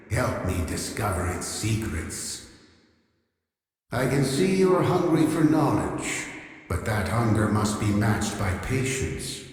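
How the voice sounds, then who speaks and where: A grand, obsessive artificial intelligence who curates knowledge in a video game. The male voice is slow and solemn, with self-important, measured phrasing. It rings out in a heavy echo with a long reverberant tail. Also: mid-range voice, a little mellow. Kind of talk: scripted video game character dialogue.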